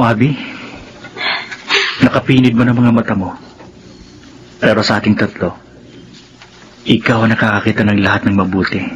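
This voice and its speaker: A man's voice: on the low side, dull audio, background noise, lightly acted. A man playing a kind, protective young older brother in a Filipino radio drama, with a Filipino accent.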